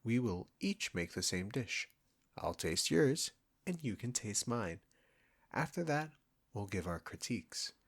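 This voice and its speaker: Man acting as a low-voiced, cocky chef. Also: very animated, a little slow, slightly rough, full character voice. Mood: challenging. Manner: smug, bantering, teasing. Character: cocky, condescending male chef, teasing a longtime rival. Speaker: audio roleplay performer. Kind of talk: intimate roleplay audio drama addressed to the listener.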